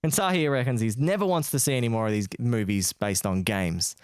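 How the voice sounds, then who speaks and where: A man's voice: mid-range voice, a little fast, darkish tone, clean tone, dry recording, studio quality. A man co-hosting a podcast, chatting casually with slangy, informal phrasing. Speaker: podcast co-host.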